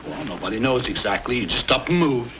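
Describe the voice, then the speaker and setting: An actor playing an affable, chatty frontier storekeeper with a folksy manner in an old-time radio Western. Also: male voice, mid-range voice, lively intonation, fairly quick, natural voice. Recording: noisy old radio drama recording.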